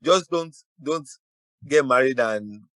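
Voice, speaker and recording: A man co-hosting a casual podcast, firm and matter-of-fact as he states his view. His voice sits at a middle pitch and sounds dry and close. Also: expressive, takes it slowly, fairly bright tone, dry recording. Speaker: podcast co-host.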